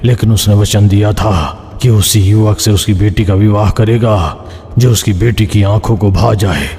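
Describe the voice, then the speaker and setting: A man voicing a dark-toned, defiant ghostly demon hand. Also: on the low side, steady tone, normal speed, lightly acted. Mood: grimly explaining. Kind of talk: Hindi horror cartoon dialogue. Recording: long hall reverb, background noise.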